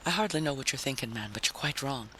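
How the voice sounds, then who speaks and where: A man's voice: mid-range voice, expressive, fast talker, bright, forceful tone. A man voicing a sprightly, fanciful tramp who talks in an earnest, coaxing, lyrical way in a vintage radio drama. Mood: hurried, conciliatory. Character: sprightly, fanciful male tramp, silver-tongued and shifty. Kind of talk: 1950s science fiction radio drama. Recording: noisy vintage radio recording.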